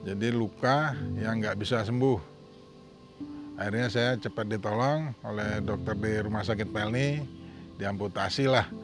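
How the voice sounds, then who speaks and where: A man's voice: lowish voice, a little slow. Man, 50s, from Sumatra, earnest personal testimony, plain-spoken, faint background music. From Sumatra, Indonesia. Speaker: testimonial speaker.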